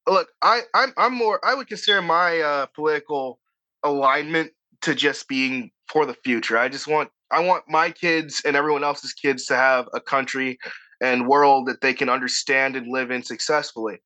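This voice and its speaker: A man's voice: medium pitch, moderate pace, pushed and bright. A man with a Boston accent, an author talking in a casual podcast conversation, profane and rambling with frequent restarts. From Boston area, United States. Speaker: author guest.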